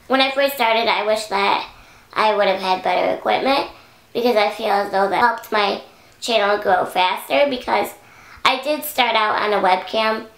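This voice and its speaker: A young woman vlogging to camera at home, hoarse and raspy from singing, chatting casually with lots of fillers. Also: mid-range voice, moderate pace, a bit bright, echoey room. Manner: chatty, rambling with many "like"s. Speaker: YouTuber. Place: indoor room at home. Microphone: camera close up.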